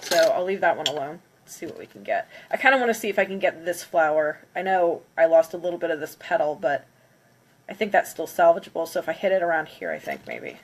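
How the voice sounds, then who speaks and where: A woman's voice: deep voice, very animated, quick pace, slight background noise. A congested woman chatting casually on a livestream, counting down before smashing plates. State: congested from a cold. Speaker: craft livestreamer.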